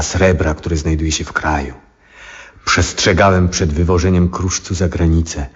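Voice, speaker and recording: An old, dying astronomer in a radio play, with an extremely low, breathy voice. He muses slowly and wearily, full of quiet awe, often sinking to a hush. The old recording sounds dull and muffled. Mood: earnest. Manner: slow, pensive soliloquy with rhetorical questions. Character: weary old male astronomer near death, reflective, awed. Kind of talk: 1970s Polish radio drama acting.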